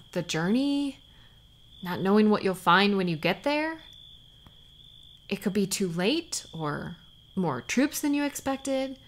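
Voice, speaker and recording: A woman acting as a tender, worried lover of a warrior in an intimate audio roleplay, speaking softly and haltingly, sometimes close to a whisper. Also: medium pitch, a little hiss or hum. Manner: soft, halting, sighing, sometimes near whisper.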